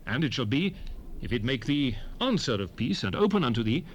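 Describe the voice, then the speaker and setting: An actor playing a stern, commanding lawgiver in a dramatized scripture reading, declamatory and emphatic with measured phrasing. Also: male voice, medium pitch, sing-song, natural voice. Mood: commanding, exhorting. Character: stern, commanding male lawgiver addressing his people. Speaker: scripture narrator in a dramatized Bible recording. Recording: 1970s cassette rip, faint background noise.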